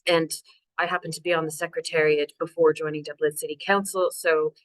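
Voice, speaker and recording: Low-voiced Canadian woman presenting. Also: little pitch movement, brisk, no room sound, poor audio. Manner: chatty, self-deprecating, many fillers. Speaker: local authority climate officer. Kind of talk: webinar presentation. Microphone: video call.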